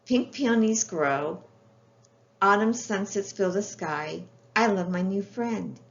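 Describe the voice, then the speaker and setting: A woman voicing a gentle, polite and eager little girl from a children's storybook. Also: mid-range voice, slow, vocal fry. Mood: tender. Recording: roomy, muffled, with faint background noise.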